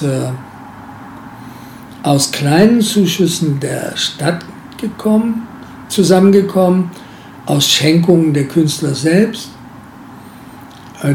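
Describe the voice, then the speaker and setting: A man's voice: fairly high pitch, creaky, darkish tone, reverberant, slight background noise. An older man, a former museum director, recalling his past work in an interview, hesitant and full of filler sounds. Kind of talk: interview recollection.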